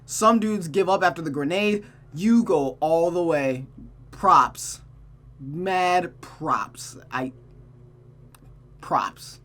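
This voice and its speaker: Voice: medium pitch, drawn-out words, long pauses, a bit bright. A game streamer reading a visual novel aloud and chatting casually about it, voicing the characters along the way.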